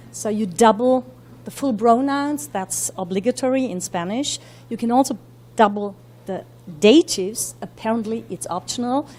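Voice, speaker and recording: Fast-talking woman lecturing with many fillers. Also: on the high side, darkish tone. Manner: talks quickly with many fillers and self-corrections. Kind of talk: academic lecture. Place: university lecture room.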